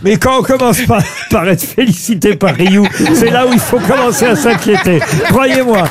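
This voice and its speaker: A man's voice: high-pitched, moderate pace, noisy background. A man hosting a radio panel show, teasing guests and steering the questions. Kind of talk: lively panel banter.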